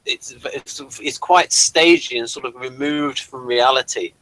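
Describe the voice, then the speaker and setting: A man with an English accent explaining things in casual discussion, often halting and rephrasing as he goes. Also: mid-range voice, fairly bright tone, no room sound, poor audio. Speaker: podcast reviewer.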